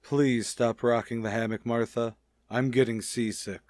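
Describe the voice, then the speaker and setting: An actor playing a grumpy, pompous older husband, grumbling in the manner of an old radio sitcom. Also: male voice, mid-range voice, steady tone, measured pace, a little mellow, no room sound, clean studio sound, natural voice. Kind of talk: 1940s-50s radio sitcom acting.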